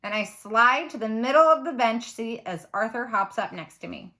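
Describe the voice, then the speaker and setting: Bright, animated woman reading a story aloud. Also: fairly high pitch, normal speed. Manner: animated, dramatic emphasis. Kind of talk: expressive read-aloud of a children's novel.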